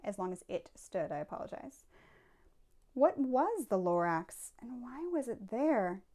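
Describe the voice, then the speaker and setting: A woman reading a children's storybook aloud expressively, with dramatic pauses and emphatic phrasing. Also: on the high side, very animated, mellow.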